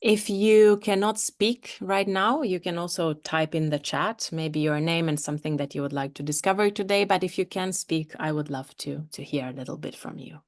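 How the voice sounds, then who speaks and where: A woman from Romania, a coach and trainer, speaking in an inviting, measured way as she leads a video-call webinar. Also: sits low, fairly even intonation. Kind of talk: live online workshop talk.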